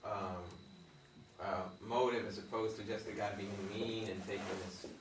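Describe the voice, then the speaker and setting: A man's voice: fairly low pitch, fairly even intonation, moderate pace, slight background noise. A man in a theatre discussion making an emphatic suggestion about the drama. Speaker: audience member giving feedback. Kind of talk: workshop discussion. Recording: echoey room, distant mic.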